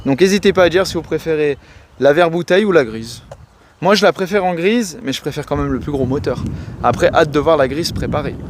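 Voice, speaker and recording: A man's voice: on the high side, expressive, fairly quick. A man hosting a car video, chatting and commenting casually in slangy, informal banter. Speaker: host of a car video channel. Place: in and around cars on the road. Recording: loud car and road noise. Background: engine and traffic noise.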